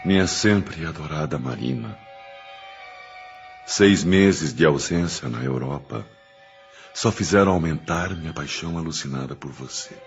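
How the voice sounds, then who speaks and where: An actor playing an obsessive suitor voicing a love letter with brooding, ardent deliberation in an audio drama. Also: male voice, extremely low pitch, unhurried, creaky, full character voice. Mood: obsessive longing. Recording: muffled audio drama with music.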